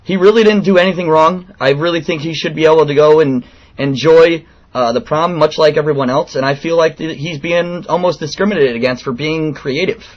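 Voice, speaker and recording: A man in his late twenties speaks in a fairly high voice on a muffled, lo-fi call. He is earnest and persistent, stumbling through an informal complaint as he keeps pushing his point. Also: a little fast. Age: late 20s. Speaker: radio show host calling in a complaint. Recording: dull, lo-fi phone call recording.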